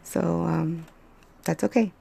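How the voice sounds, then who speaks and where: A woman hosting a casual solo podcast, talking into her phone with a hoarse voice and many pauses and fillers. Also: on the low side, steady tone, warm tone. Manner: rambling, hesitant, many fillers. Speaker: podcast host.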